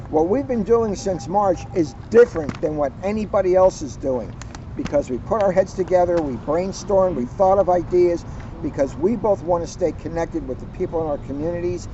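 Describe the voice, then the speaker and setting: A man with a notably high voice reads and talks to children in an expressive, deliberate storyteller's way, stretching the ends of phrases. He is recorded outdoors, and the sound is dull with faint background noise. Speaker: police officer reading to children in a community video series. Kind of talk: picture-book read-aloud with informal chat. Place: outdoors at a garden center. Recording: dull, noisy outdoor audio.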